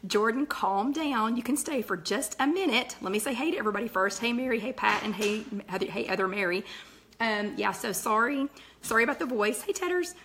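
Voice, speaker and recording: A middle-aged woman, her voice raspy and nearly gone, hosting a live chat and greeting viewers by name between thoughts. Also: a little high, expressive, fairly quick, a bit bright, a little hiss or hum. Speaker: host of a live weight-loss chat.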